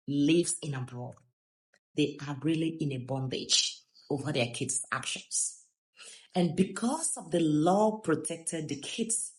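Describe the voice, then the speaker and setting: A woman's voice: sits low, poor audio. A woman from Gambia giving casual, preachy commentary on a video clip, with chuckling asides.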